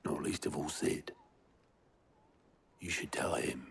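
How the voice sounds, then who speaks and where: An actor playing a gruff but caring male comrade in a video game, talking in a colloquial, wry, familiar way. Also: slow pace, natural voice. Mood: earnest urging.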